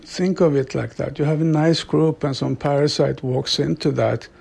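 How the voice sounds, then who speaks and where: A middle-aged man from Sweden, an author and devotee, talking reflectively in a slow, halting way with frequent soft laughs. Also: a little high, steady tone, gravelly, breathy edge. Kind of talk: reflective monologue.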